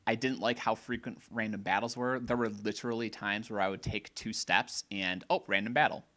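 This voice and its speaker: Lowish voiced guy on a gaming podcast, bit hoarse, bright edge, rambles and restarts a lot. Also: fairly even intonation, brisk. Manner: opinionated, rambling reviewer with restarts. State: slightly hoarse. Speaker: podcast co-host. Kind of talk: casual gaming podcast chat.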